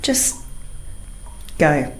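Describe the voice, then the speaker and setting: A woman's voice: extremely slow, slight background noise. A woman playing a calculating British wife in a radio thriller, speaking in terse phrases. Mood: cold, terse. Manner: terse, businesslike. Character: cold, calculating wife plotting her husband's death.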